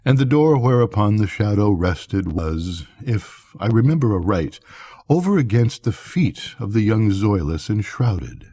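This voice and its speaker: An older man reading a gothic bedtime story aloud in a solemn, measured, theatrical manner. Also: lowish voice, big pitch swings, dark tone, breathy edge. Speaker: storybook reader.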